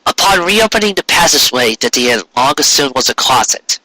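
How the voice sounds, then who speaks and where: A man reading a story aloud as narrator, with expressive, earnest delivery. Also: medium pitch, brisk, no room sound, low-quality recording. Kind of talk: scripted fan fiction storytelling.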